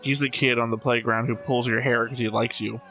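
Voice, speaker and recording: A man's voice: medium pitch, flat delivery, fairly quick, music in the background. A man talking casually as a reaction show host, opinionated and analytical, with a blunt, flippant way of speaking.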